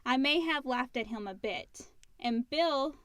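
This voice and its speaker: A woman's voice: high-pitched, animated, unhurried, fairly bright tone, dry recording. Woman co-hosting a casual podcast, dry sound. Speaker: podcast co-host reading listener questions. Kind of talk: casual chat.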